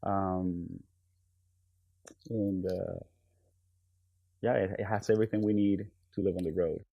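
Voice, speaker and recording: A man from Venezuela, a podcast guest, talking in a relaxed, hesitant way with many pauses and fillers. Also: sits low, gravelly, dry recording, muffled recording. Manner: hesitant, many ums and uhs, soft trailing phrases. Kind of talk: relaxed conversational interview.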